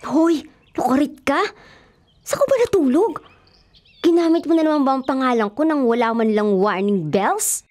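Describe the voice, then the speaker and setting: A woman's voice: sits high, expressive, normal speed, darkish tone. A woman playing a brash, easily shocked young best friend in a Filipino radio drama, exclamatory and teasing. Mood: shocked, scolding.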